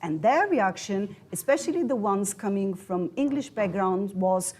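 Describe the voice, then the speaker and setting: A woman with a Turkish accent lectures at a symposium in a middle-pitched, even voice. She speaks with measured, scholarly phrasing. She often stumbles and restarts on words and names. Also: breathy edge. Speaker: conference speaker. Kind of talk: academic lecture. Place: conference hall.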